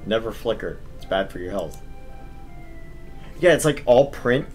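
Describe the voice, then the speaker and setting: A man's voice: fairly low pitch, animated, a little slow, a little mellow, soft background music. A man hosting a gaming stream, chatting casually and reading aloud in an informal, joking way. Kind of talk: casual chat and visual novel read-aloud.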